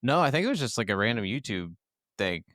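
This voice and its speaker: A man's voice: on the low side, sing-song, fast talker, fairly bright tone, no echo, clean studio sound. A man co-hosting a podcast, chatting casually in slangy, sweary speech. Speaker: podcast co-host. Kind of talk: casual chat.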